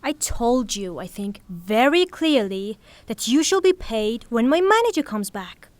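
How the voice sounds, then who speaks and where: An actress playing a proud, melodramatic grieving widow in a theatrical audio drama, speaking in a formal, haughty way. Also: female voice, on the high side, sing-song, unhurried, dry recording. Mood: firm.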